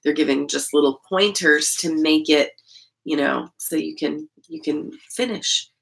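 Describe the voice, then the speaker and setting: A middle-aged American woman with a deep voice, talking in a chatty, rambling way like a casual devotional vlogger. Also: unhurried, creaky. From Idaho, United States. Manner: rambling, chatty, often breaking off and restarting sentences. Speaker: host of a Bible-reading channel. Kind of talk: casual devotional vlog chat. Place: small indoor room at home.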